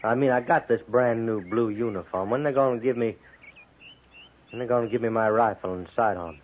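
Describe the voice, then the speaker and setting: A man acting an eager former rebel soldier with a mid-pitched voice. He is stubborn, rustic and insistent, in a vintage radio western with a thin, tinny sound. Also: a little fast, natural voice. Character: eager, stubborn male ex-Confederate army recruit. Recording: tinny 1950s radio broadcast recording.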